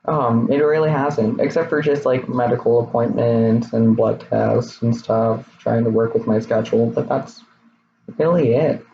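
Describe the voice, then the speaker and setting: A young man in his twenties, his voice a little raspy, talking candidly and hesitantly in a personal vlog. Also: medium pitch, fairly even intonation, unhurried, dark tone. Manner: candid, rambling, hesitant with many fillers. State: slightly raspy voice. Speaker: vlogger. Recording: slightly dull, faint noise.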